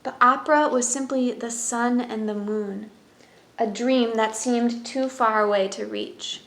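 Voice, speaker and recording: A woman's voice: fairly high pitch, fairly even intonation, unhurried, creaky, fairly bright tone, reverberant. A woman reading a children's picture book aloud as a storyteller, in narration with brief explanatory asides.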